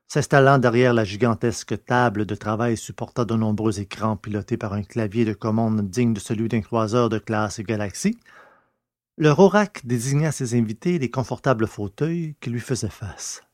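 A man narrating a science-fiction story from a script in a measured, literary way. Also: medium pitch, darkish tone, dry recording, studio quality.

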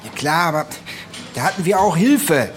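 A man's voice: a little high, lively intonation, normal speed, faint music behind, lightly acted. An actor playing an earnest, dry policeman with a colloquial way of talking in a radio drama. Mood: earnest, frustrated. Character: earnest, dry policeman nephew asking favours.

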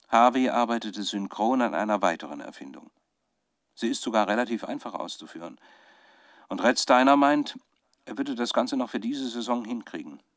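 A low, closely recorded male voice of a scientist in a radio drama. He dictates a private research diary with troubled fervour. His delivery is halting and reflective, searching for each word with dry, intimate sound. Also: no echo. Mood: agitated, troubled.

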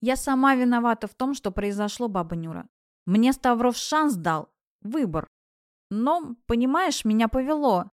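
Woman acting a worried young female student, higher pitch, earnest, confessional, vulnerable, audiobook dialogue, dry studio sound. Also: lively intonation, normal speed, dry recording, close to an everyday voice. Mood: rueful, confessional. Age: young adult. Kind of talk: audiobook character voice.

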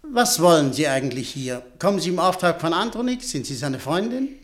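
A composed, higher-voiced businessman. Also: sing-song. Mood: probing. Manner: polite, formal, measured. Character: shrewd, composed businessman director, courteous and controlling. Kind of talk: German radio play acting.

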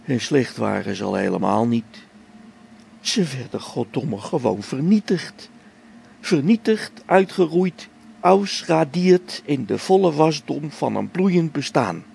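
A gravelly, grieving space explorer. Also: male voice, a little high, expressive, slight background noise, full character voice. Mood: anguished. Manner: brooding, halting, sinks to hushed whispers. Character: grieving male space explorer, faith shaken. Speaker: log-keeping space expedition member. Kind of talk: radio play monologue.

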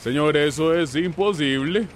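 A nervous gate guard, played by a man in a radio drama. His voice is slightly high and deferential, set in faintly noisy outdoor ambience. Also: measured pace, natural voice. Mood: polite, flustered.

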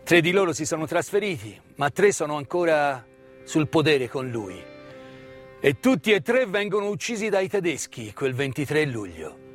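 A male stage storyteller with a fairly high voice performs a scripted narrative, with faint music behind him. He speaks in a measured theatrical manner, setting phrases apart with deliberate pauses. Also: big pitch swings. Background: live instrumental music.

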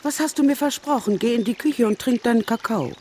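An actress playing a firm, composed older great-aunt in a crime radio play, speaking calmly. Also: female voice, on the high side, a little fast, slight background noise, close to an everyday voice.

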